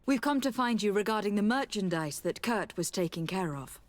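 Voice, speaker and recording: A woman's voice: mid-range voice, animated, moderate pace, close to an everyday voice. A woman voicing a poised, practical noble diplomat in a video game, formal and assured. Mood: inquisitive, practical.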